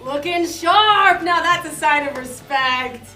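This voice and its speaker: A woman's voice: sits high, slow pace, bright, forceful tone, full character voice. A woman playing a teasing, wisecracking middle-aged guy in a live comedy script read. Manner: wisecracking, loose. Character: teasing middle-aged male comedy leading man, sarcastic pal. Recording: roomy distant mic, music behind.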